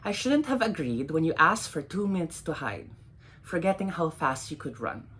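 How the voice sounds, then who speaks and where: A woman poet with a low, husky voice gives a dramatic reading of her work. Her pitch moves widely from phrase to phrase, and she sets out each line with measured, deliberate pauses. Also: sing-song, fairly bright tone. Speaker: poet reading own poems.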